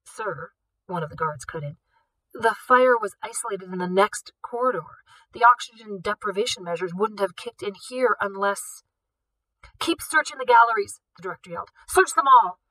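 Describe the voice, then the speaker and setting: A woman's voice: medium pitch, lively intonation, normal speed, dry recording, recorded from a distance, low-quality recording. A woman, a teacher, reading a novel aloud, lifting her voice for the characters' lines. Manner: shifts up and louder for dialogue.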